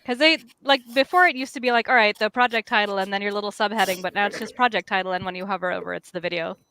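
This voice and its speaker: A woman talking casually in a relaxed group conversation. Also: medium pitch, very fast, clean tone, poor audio. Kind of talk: casual chat.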